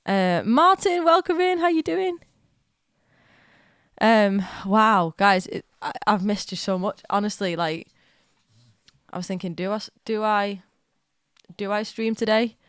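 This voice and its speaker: Young woman, chatty streamer with a mild cold, mid-pitched, dry close sound. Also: unhurried, long pauses, clear, resonant tone, no echo. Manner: chatty, rambling, excitable, with many restarts. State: has a cold, slight cough. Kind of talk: casual live-stream chat. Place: at home.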